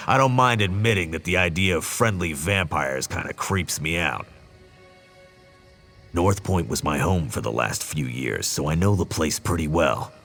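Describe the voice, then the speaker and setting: Deep, gravelly, easygoing game soldier. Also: male voice, a bit bright, full character voice. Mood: wry, casual. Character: easygoing male soldier leading a stealthy infiltration. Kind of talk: video game character dialogue.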